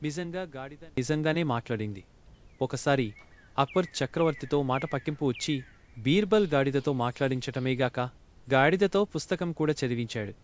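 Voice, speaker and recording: A man's voice: medium pitch, animated, moderate pace. A man narrating a scripted folk tale as a storyteller.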